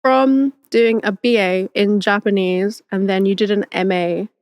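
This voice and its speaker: A young woman hosts a casual podcast chat and guides the talk with easy questions. She laughs often and reacts quickly to her guest. Her pitch is middling, and the recording is dry and close. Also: fairly even intonation, darkish tone, no echo. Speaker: podcast host. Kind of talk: casual conversational interview.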